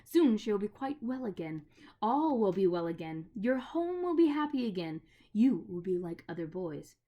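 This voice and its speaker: A woman's voice: medium pitch, very animated, moderate pace, highs cut off. A woman doing a proud menacing witch. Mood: tempting. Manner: commanding, then hushed and coaxing. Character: proud menacing witch queen, cold and tempting.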